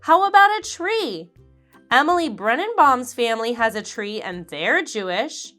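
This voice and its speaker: Hopeful young girl, read by a woman; bright, slow storybook delivery. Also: on the high side, expressive, soft background music. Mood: pleading.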